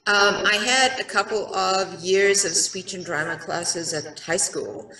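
A middle-aged actress with a South African accent, chatting on a video call in a bright room, with a relaxed, self-deprecating manner full of ums. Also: female voice, mid-range voice, a little slow, crowd noise. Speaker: actress, interview guest. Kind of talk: casual video-call interview. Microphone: headset on a video call. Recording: roomy, distant-sounding call audio.

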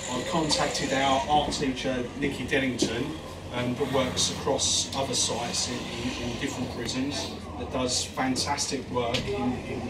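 A man, a prison education officer, giving a hesitant speech full of ums in a crowded art gallery. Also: medium pitch. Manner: hesitant, many ums and restarts. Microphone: far camera. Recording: roomy, distant, loud crowd noise.

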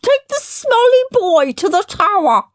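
A disdainful mock queen acted by a woman reader from a children's storybook. The voice is extremely high and swooping, haughty and drawn out. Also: big pitch swings, a little slow, no echo, clean studio sound, heavily acted. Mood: haughty disgust. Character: haughty, disdainful queen mimicked by a mischievous boy.

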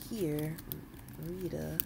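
A woman's voice: sits low, steady tone, extremely slow, creaky, background noise. A woman giving a casual screen-recorded coding tutorial, informal and thinking aloud as she works. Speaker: tutorial host, game developer.

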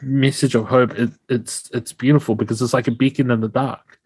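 A man's voice: mid-range voice, fairly even intonation, brisk, darkish tone, breathy edge, no room sound. A young man hosting a podcast over a video call with a headset, talking in an effusive, enthusiastic way. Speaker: podcast host. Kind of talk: casual interview chat. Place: home room, indoors.

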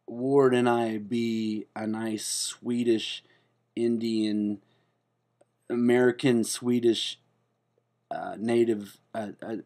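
A man playing a defensive, self-important character in a comedic spoof monologue, talking in a hesitant, rambling way with deadpan earnestness. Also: mid-range voice. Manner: hesitant and rambling, full of fillers and restarts; deadpan, earnest delivery of absurd claims.

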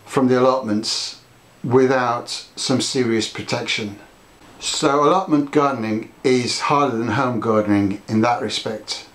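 Older gardener talking to camera, casual storyteller, mid pitch, roomy sound. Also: male voice. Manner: chatty, storytelling, gesturing with his hands. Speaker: gardening vlogger. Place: home conservatory, indoors.

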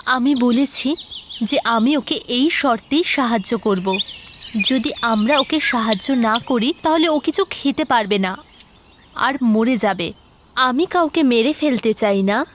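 A woman's voice: a little high, lively intonation, unhurried, noisy background. A woman voicing a kind but firm mother bird in a cartoon fairy tale, speaking earnestly and emphatically. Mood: earnest, pleading. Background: music.

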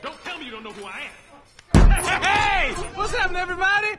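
Very high-pitched brash cartoon donkey, American. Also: male voice, sing-song, fast talker, loud music behind the voice. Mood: excitable, cheeky. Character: brash chatty cartoon donkey, cheeky, excitable. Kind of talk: animated comedy voice acting.

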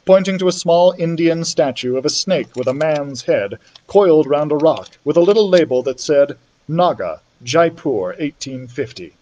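A man's voice: on the high side, lively intonation, moderate pace, hardly any pauses, mellow, highs cut off. A man reading a children's story aloud expressively, as a library storyteller would.

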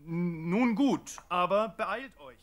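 A man's voice: sits high, lively intonation, slow, fairly bright tone, heavily acted. An actor playing a brusque, impatient king's servant giving orders in a biblical radio play. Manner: commanding, impatient. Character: brusque, imperious male servant of a tyrant king. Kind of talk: German radio play acting.

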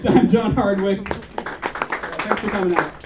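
A young man on a dark club stage, dragging out his stand-up lines in a slow, deliberate deadpan. His fairly high voice rises and falls with animation, and the room echoes around it. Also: a loud crowd, heavy background noise. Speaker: stand-up comedian. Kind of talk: stand-up routine. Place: dark club stage before a live audience. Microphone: handheld mic on a stand. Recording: echoey room, mic from far off.

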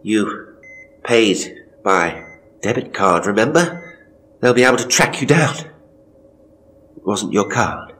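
A man acts out a ghost tour guide in terror for an audio horror drama. His voice is driven high above its natural pitch and loud. He stammers breathlessly and pleads. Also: lively intonation, slow, pauses often. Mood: alarmed, defiant. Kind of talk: audio horror story acting.

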